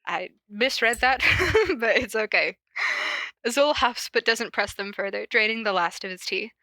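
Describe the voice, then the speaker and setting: Woman, informal podcast reader and host. Bright, forward voice, dry close sound, giggly, slips easily between story and asides. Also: medium pitch, normal speed, dry recording. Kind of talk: casual cold reading of fan fiction and chat. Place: corner of a basement at home.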